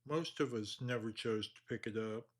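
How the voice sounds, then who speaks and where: A man leading a guided meditation, speaking slowly and calmly with long pauses. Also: medium pitch. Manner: slow, calm, measured delivery with long pauses.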